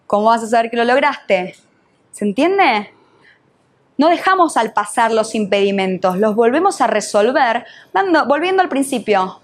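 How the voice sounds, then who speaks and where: Mujer argentina dando un taller. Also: female voice, a little high, big pitch swings, normal speed. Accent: Argentine Spanish. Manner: questions the audience, uses voseo, teacherly. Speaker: NLP trainer and coach. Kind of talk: live workshop talk.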